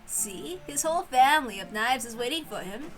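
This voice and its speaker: A woman voicing a kindly, playful servant who gently coaxes and explains like a storyteller, in a visual novel read-aloud. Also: high-pitched, expressive, moderate pace, faint music behind, low-quality recording. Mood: gentle, coaxing. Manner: gentle, coaxing, chuckling storyteller.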